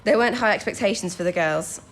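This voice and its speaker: A woman's voice: mid-range voice, fast, faint music behind, natural voice. A young woman playing an eager, modest teenage recruit to wartime codebreaking in a period radio drama, chatty and polite. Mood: earnest. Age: young adult.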